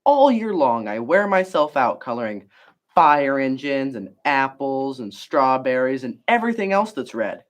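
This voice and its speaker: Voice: medium pitch, very animated, measured pace. A reader voicing an overworked, exasperated red crayon from a storybook, worn out and pleading.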